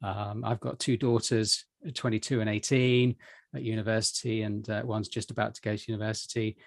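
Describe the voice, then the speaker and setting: A man's voice: on the low side, level tone, fairly quick, gravelly, no room sound, studio quality. A man in his forties, a podcast guest, telling his story in an upbeat, rambling way with many fillers and restarts. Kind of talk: conversational interview answers.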